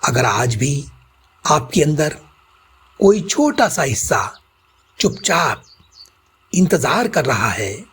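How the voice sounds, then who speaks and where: A man with an Indian accent guiding a meditation, speaking gently and soothingly in short, deliberate phrases. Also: a little high, big pitch swings, husky. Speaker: guided meditation host. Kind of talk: slow guided meditation narration.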